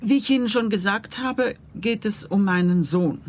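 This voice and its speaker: An actress playing a composed middle-aged mother in a radio play, polite and measured. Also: mid-range voice, close to an everyday voice. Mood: sober, composed.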